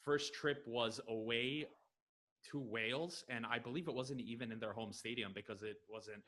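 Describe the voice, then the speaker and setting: A man's voice: mid-range voice, fairly even intonation, pushed and bright. A man in his thirties with an American accent from Los Angeles, a guest on a football podcast, answering eagerly with many fillers. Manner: enthusiastic, quick, with many fillers. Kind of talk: casual interview answers. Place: home room, video call. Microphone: headset.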